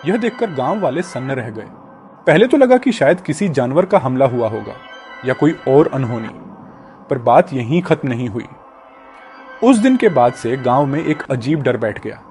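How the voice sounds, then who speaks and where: A man voicing a grave village landlord father in a horror cartoon, telling things in a solemn, deliberate way. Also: medium pitch, sing-song, darkish tone, faint music behind. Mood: grave, ominous. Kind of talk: Hindi animated horror story dialogue.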